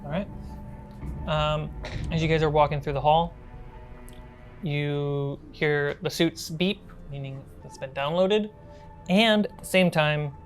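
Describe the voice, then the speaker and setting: A woman with a very low voice narrates a tabletop game. She improvises the scenes as game master, with faint music underneath. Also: slow pace, pauses often.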